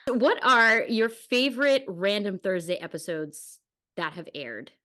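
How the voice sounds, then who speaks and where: A woman's voice: mid-range voice, unhurried, sharp tone. A woman hosting a podcast interview in a casual, conversational way. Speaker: podcast host, dancer and writer.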